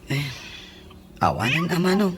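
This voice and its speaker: A woman's voice: low-pitched, sing-song, measured pace. A woman with an Ilocano accent playing a hapless, whiny young male office worker in a comedy radio drama. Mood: pleading. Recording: clear background noise.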